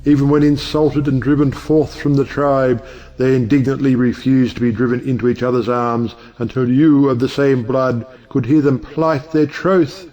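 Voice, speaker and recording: An actor playing an eloquent, high-minded judge who speaks in grand, oratorical style in an audio play. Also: male voice, steady tone, normal speed, mellow, highs cut off, lightly acted. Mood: impassioned.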